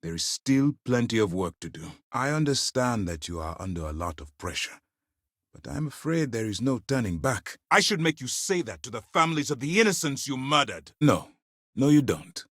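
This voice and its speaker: A stern, principled military commander of middle age for a space video game. His delivery is formal and measured with firm authority, yet very animated in pitch. The recording is dry and close, with no room sound. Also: no echo. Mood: stern, angry. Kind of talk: dramatic video game dialogue.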